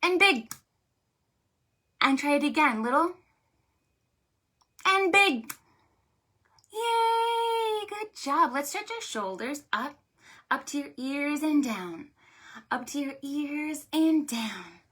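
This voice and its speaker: A woman hosting a preschool storytime, speaking to small children in an animated, sing-song, exaggerated way. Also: sits high, takes it slowly, pauses often. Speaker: children's librarian, storytime host.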